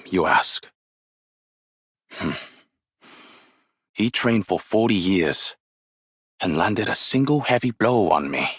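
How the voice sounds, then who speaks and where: An actor playing a wise, weary, ageless martial arts master in a game, with measured, formal, poetic delivery and a husky voice. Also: male voice, sits low, fairly bright tone, dry recording, studio quality, exaggerated voice. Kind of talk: scripted game character voice lines, solemn and poetic.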